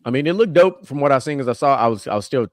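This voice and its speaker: A man's voice: medium pitch, expressive, racing, dry recording, studio quality. A man hosting a podcast in loose, casual chat, swearing and laughing with his co-hosts. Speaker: podcast host.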